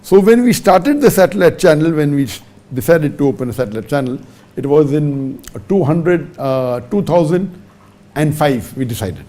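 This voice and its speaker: A man with a fairly high yet mellow, dark-toned voice answers in a lecture-style talk. He reels off numbers one after another and hits key words with sharp upward pitch jumps. English is not his mother tongue. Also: animated, moderate pace. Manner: methodical, reels off figures and rankings one by one. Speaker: religious speaker answering a question.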